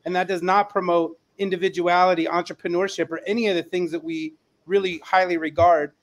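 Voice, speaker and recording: A middle-aged man co-hosting a panel on a video call, speaking conversationally in an emphatic, deliberate way. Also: high voice, little pitch movement. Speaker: co-host, educator.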